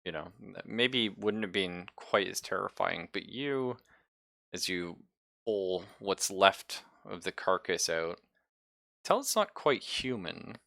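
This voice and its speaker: A man acting as game master, improvising descriptions and chatting informally, with a halting, casual delivery. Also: low-pitched, expressive, vocal fry, bright, forceful tone, no room sound, clean studio sound. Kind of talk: improvised tabletop game narration and casual chat.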